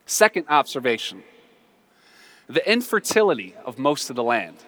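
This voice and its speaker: Animated American preacher man in a reverberant hall. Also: medium pitch, moderate pace. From southern United States. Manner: animated, emphatic, repeats phrases for effect. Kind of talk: conversational, humorous storytelling sermon. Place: large reverberant room, live before a congregation.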